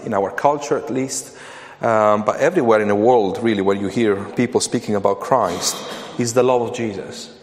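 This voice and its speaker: A man from Italy with an Italian accent preaching a sermon as a guest speaker, speaking hesitantly with frequent fillers and restarts. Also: medium pitch. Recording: reverberant hall with faint ambient noise.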